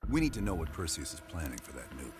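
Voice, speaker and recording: A man playing a cool, commanding covert team leader in a game cutscene, measured and quietly menacing. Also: extremely low pitch, wildly swooping pitch, noisy background, exaggerated voice. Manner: measured, authoritative briefing. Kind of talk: dramatic game cutscene acting. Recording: loud music behind the voice.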